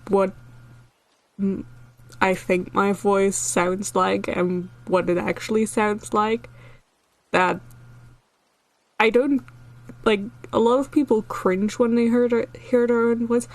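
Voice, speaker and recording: A woman's voice: medium pitch, fairly even intonation, slow, long pauses, a little mellow, no room sound. A woman streamer whose first language is Dutch, chatting casually and rambling with frequent laughter. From Netherlands. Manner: giggly, rambling, laughs often. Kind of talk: casual chat with live chat.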